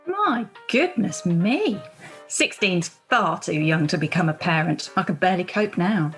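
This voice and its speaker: A woman's voice: on the low side, animated, unhurried, faint music behind. A woman playing a timid, polite, easily shocked woman with a prim, apologetic manner in an amateur radio play.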